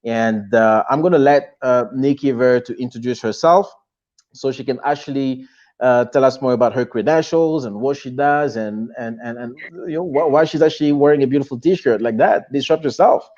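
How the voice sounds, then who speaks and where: A man's voice: mid-range voice, normal speed, a little mellow, lo-fi sound. A man from the DR Congo hosting a live-stream interview show, talking effusively with lots of restarts and fillers. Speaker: show host.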